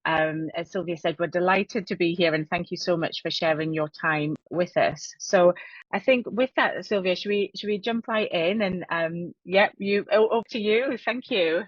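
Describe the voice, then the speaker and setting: A low-pitched woman in middle age presents a webinar, pausing often on fillers and restarting phrases. The sound is dry and a little dull, with no room around her voice. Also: dry recording. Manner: many fillers, repeated words, friendly. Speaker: webinar co-host, hospitality marketing consultant.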